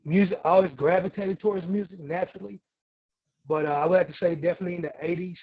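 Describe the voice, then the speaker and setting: A man's voice: high-pitched, fairly even intonation, fast talker, dry recording. A man in his forties from Germany and Missouri, chatting casually as an interview guest in a laid-back, slangy way. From Germany and Missouri, USA. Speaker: guest, hip hop artist and producer. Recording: narrow, tinny phone-like call audio.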